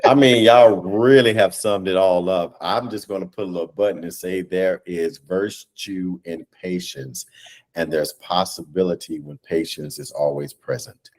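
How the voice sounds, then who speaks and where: A man with a fairly low voice talks as a guest on a casual interview show. He is measured and emphatic, with a motivational bent. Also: fairly even intonation, darkish tone. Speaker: guest, stage play director. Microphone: video call.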